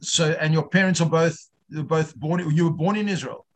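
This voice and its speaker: A man teaching an informal online religious class, putting questions to his students in a halting way, often repeating himself. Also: fairly high pitch.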